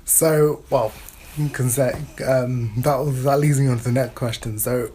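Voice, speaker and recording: A man's voice: medium pitch, steady tone, a little slow, dark tone, slight background noise. A man interviewing as a student, asking questions and offering short acknowledgements. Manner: brief, encouraging acknowledgements.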